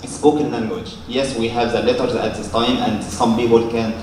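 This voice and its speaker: A man from Egypt with an Egyptian accent, a native Arabic speaker, arguing from the audience in halting, repetitive speech. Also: medium pitch, flat delivery, a little mellow. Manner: very halting, repeats words, seeks confirmation with okay and yes. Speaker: audience member asking a question. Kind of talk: unscripted question and argument from the floor. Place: large echoey lecture room. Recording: very echoey room, distant mic, faint noise.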